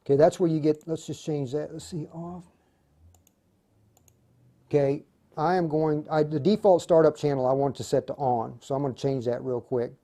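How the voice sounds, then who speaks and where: A man with a slightly high voice leads an informal live tutorial. He thinks aloud in halting phrases, muttering to himself while he works and talking to viewers in a plain, reassuring way. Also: fairly even intonation, warm tone. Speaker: tutorial host demonstrating radio programming.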